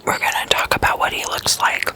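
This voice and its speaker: An ASMR host whispering in an intimate slumber-party chat, addressing listeners as guests and softly repeating words. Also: a little fast. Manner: repeats single words in soft trailing echoes.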